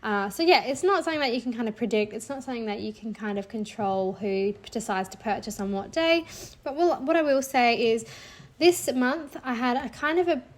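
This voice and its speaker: A woman's voice: fairly high pitch, expressive, brisk. A woman in her thirties, slightly nasal from a cold, chatting casually and cheerfully through a screen-share of her monthly sales. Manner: chatty and upbeat, frequent "like" and "so", light laughs. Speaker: clipart seller sharing a monthly income report.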